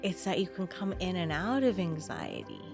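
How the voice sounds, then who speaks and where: A woman co-hosting a podcast, gently guiding and asking curious questions in casual chat. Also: lowish voice, huge pitch swings, normal speed, vocal fry, music in the background. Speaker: podcast co-host, coach.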